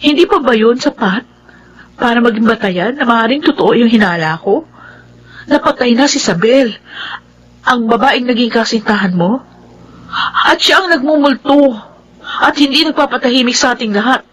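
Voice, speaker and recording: A woman's voice: a little high, normal speed, long pauses, fairly bright tone, breathy edge, poor audio. A woman with a Filipino accent playing an anxious, suspicious young woman in an emotive radio drama style. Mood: uneasy, insistent.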